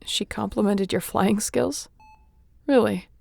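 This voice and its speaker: A woman playing a wounded starship captain in a sci-fi audio roleplay, wry and caring, speaking haltingly and softly with a breathy, gravelly voice. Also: medium pitch, no echo, heavily acted. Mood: teasing. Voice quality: gravelly, often breathy and whispery.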